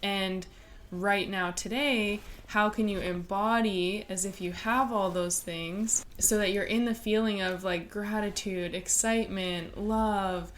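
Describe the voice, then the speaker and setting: A woman talking on a podcast in a calm, explanatory way. She lays out her points steadily and fills the pauses with soft agreeing murmurs. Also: medium pitch, slight background noise. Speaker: podcast co-host.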